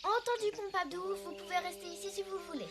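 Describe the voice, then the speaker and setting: A brave young elephant king in a cartoon. The boyish voice is very high, earnest and resolute, set over loud music. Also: fairly even intonation, quick pace. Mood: determined. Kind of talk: French-dubbed cartoon acting.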